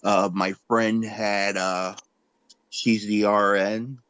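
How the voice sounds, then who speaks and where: A man in his forties in a casual group chat, speaking haltingly with many fillers. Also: lowish voice, little pitch movement, a bit bright, clear, resonant tone, no echo. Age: 40s.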